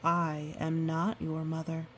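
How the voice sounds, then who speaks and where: A woman reading the part of a grown male wolf in a storybook, gentle, protective and reassuring. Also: deep voice, monotone, takes it slowly, slight background noise, pitched below the performer's own voice.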